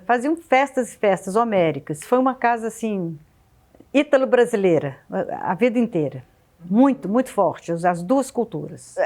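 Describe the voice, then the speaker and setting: Older woman fondly recalling family gatherings. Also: medium pitch. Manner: warm, nostalgic storytelling with repeated words. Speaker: interviewee. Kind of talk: reminiscing in a documentary interview.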